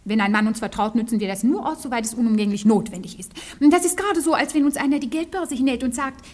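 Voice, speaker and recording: An actress playing a saucy, shrewd young maidservant with a teasing, theatrical manner in a German radio comedy. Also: female voice, a little high, quick pace, a little mellow, close to an everyday voice. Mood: pert, teasing. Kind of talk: German radio play acting, stagy comedy.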